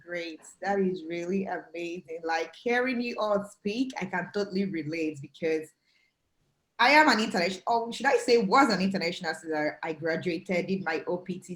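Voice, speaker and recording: A woman's voice: medium pitch, a bit bright. Chatty young woman host, roomy echo. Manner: friendly, chatty, uses "like". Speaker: interview host. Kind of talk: hosting a panel interview.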